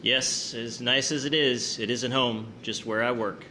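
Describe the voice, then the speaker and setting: Guy playing a by the book sub captain in a war drama, curt, sharp edged voice, bit of noise behind. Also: mid-range voice, fairly even intonation, a little slow. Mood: stern. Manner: curt, formal, commanding. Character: stern, by-the-book submarine captain, male. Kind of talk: scripted wartime naval drama. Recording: faint background noise.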